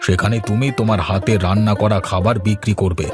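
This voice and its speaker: Man voicing a deep-voiced, kindly older uncle. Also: steady tone, quick pace, music in the background, natural voice. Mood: reassuring, urging. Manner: reassuring, firm, fatherly. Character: kindly, decisive older uncle, a grateful guest. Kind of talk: Bengali animated moral story acting.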